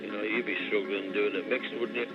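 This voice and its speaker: A man's voice: lowish voice, fairly even intonation, fairly quick, creaky. A man chatting casually and ramblingly as an amateur radio operator over a radio transmission. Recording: muffled radio transmission under loud music. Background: loud ambient music.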